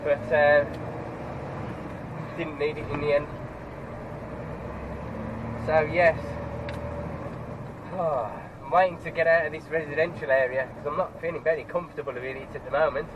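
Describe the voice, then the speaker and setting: A man's voice: a little high, steady tone, moderate pace, pauses often, muffled recording. A truck driver with a stuffed-up nose from a cold, chatting casually to the camera from his cab and grumbling at other road users. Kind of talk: casual driving vlog commentary. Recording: road and engine noise in cab.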